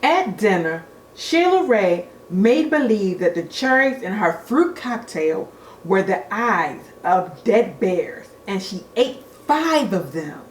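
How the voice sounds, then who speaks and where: A woman reading a children's storybook aloud in an expressive, deliberate way, like a teacher at storytime. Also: mid-range voice. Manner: expressive, deliberate, engages young listeners with questions. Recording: echoey room with faint noise.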